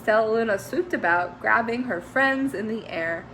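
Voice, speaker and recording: A woman teacher reading a children's storybook aloud with expressive, gentle storytelling. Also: on the high side, normal speed, a little hiss or hum, low-quality recording.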